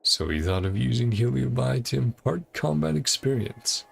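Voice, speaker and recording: A man's voice: mid-range voice, lively intonation, moderate pace, vocal fry, a little hiss or hum. A man giving casual gameplay commentary, musing aloud and paraphrasing story dialogue in short clipped phrases. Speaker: game streamer.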